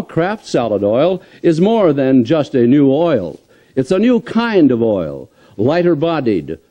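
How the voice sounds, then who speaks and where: Mellow, lively older radio announcer. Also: male voice, medium pitch. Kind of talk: scripted old-time radio announcing and sponsor read. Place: live stage in a convention room. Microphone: stand microphone on stage.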